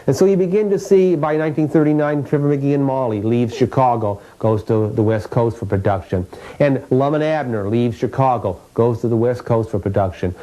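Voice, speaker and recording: A man with a mellow, mid-pitched voice recounts history in an interview. His delivery is fluent and explanatory, like an articulate historian. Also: rough recording.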